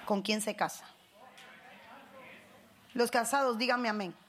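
A woman pastor with a Cuban Spanish accent delivers a sermon in a high voice. She calls on the congregation for responses and climbs into emphatic bursts. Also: slow, crowd noise. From Cuba.

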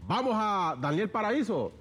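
A man with a Honduran accent hosting a call-in radio show, brisk and wry between calls. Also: high-pitched, very animated, a bit bright. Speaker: radio host.

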